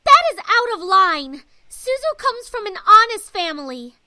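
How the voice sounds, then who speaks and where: A woman voicing a fiercely loyal, excitable high school girl in a visual novel, speaking emphatically. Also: unhurried, no room sound, heavily acted. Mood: indignant. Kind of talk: visual novel character acting.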